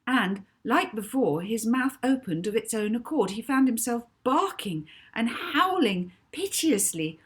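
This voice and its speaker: A woman author reading her children's novel aloud with dramatic, deliberate emphasis. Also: a little high, big pitch swings, husky. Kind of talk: expressive children's audiobook narration.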